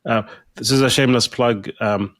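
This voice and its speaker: A man hosting a podcast interview, asking questions with lots of halting restarts and fillers. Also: medium pitch, steady tone, fairly bright tone, no echo. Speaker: podcast host. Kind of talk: conversational interview.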